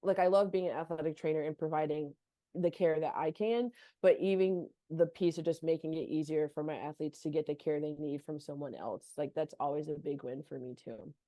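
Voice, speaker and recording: A woman's voice: deep voice, fairly even intonation, a little fast, dark tone, no room sound. A young woman from North Carolina, an athletic trainer, talking chattily in a casual podcast interview with many "like" fillers. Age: young adult.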